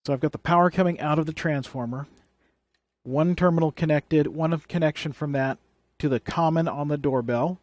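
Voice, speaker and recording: A man's voice: on the high side, a little fast, darkish tone, no room sound. A man presenting a hands-on electronics how-to, explaining things plainly and step by step. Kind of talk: hands-on tutorial demonstration.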